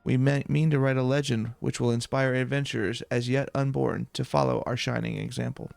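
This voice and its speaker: A proud adventurer leader, read out by a man speaks in formal, archaic fantasy-game lines. The voice is middling in pitch with a gravelly creak, and the delivery is earnest and grandiose over music. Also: fairly even intonation, a little fast, a little mellow, dry recording. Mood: earnest, lofty. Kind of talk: reading game dialogue aloud.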